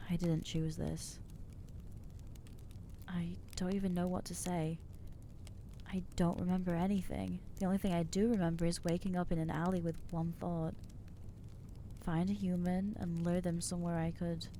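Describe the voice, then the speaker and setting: A woman voicing an anxious, guilt-ridden new vampire in an ASMR roleplay, halting and self-doubting, with wry exasperation. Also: on the low side, warm tone, slight background noise. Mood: anxious, guilty. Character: anxious newly turned female vampire, guilty and overwhelmed. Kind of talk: ASMR roleplay acting to the listener.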